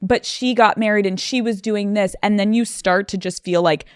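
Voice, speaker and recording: A woman's voice: mid-range voice, steady tone, moderate pace, clear, resonant tone, no echo. A woman with an everyday mid-range voice hosting a podcast and chatting casually with her guest. She is upbeat and supportive, and she swears freely. The sound is close and dry. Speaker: podcast host. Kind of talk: interviewing, casual chat. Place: podcast studio.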